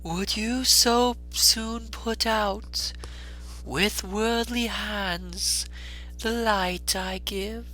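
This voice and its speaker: A gentle ageless spirit from a storybook, solemn and stately. The voice is soft and airy, lifted high, and each phrase is drawn out extremely slowly over faint music. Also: fairly even intonation, poor audio. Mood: grave reproach. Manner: slow, drawn-out, stately delivery. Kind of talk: dramatic storybook reading.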